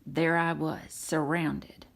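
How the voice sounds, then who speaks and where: A woman's voice: deep voice, flat delivery, slow pace, vocal fry, heavily acted. A woman voicing a proud, folksy cartoon tow truck who spins tall tales in a children's storybook. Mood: boastful.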